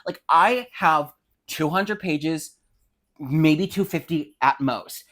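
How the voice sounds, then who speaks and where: A woman's voice: sits low, slow pace, fairly bright tone. A young woman co-hosting a podcast in casual chat, scathing and foul-mouthed, full of slang as she rants. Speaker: podcast co-host.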